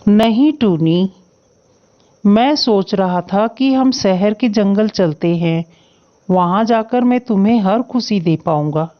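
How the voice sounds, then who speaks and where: A woman's voice: medium pitch, slow, highs cut off, lightly acted. A woman voicing a caring, stubborn young male crow, a protective husband in a moral-story cartoon. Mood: earnest complaint. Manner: earnest, protective, insistent.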